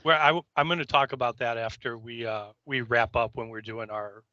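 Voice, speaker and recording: A man with a very bright, sharp voice at an ordinary pitch chats casually as a podcast co-host. The recording is dry and slightly dull. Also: steady tone, a little fast, no room sound. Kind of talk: casual chat.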